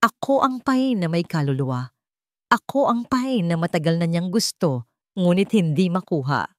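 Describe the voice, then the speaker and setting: A woman's voice: lowish voice, expressive, moderate pace, a little mellow, no echo, clean studio sound, natural voice. A woman voicing a brave, determined young daughter in a dramatic story, earnest and resolute.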